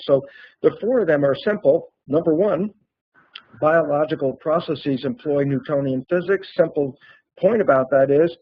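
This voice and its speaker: A man with a fairly high voice lectures on science for a lay crowd, conversational and emphatic. He keeps asking questions and answering them in plain colloquial words. The sound is dry and slightly muffled, lo-fi. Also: dry recording. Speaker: author and lecturer. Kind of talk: animated popular-science lecture.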